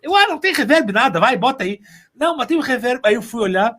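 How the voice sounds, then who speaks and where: A man's voice: very high-pitched, fast. A man with a southern Brazilian accent, talking casually in an interview and telling things like a storyteller who acts out remarks. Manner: animated storyteller, acting out quoted remarks. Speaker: sound engineer and mixer on an audio drama.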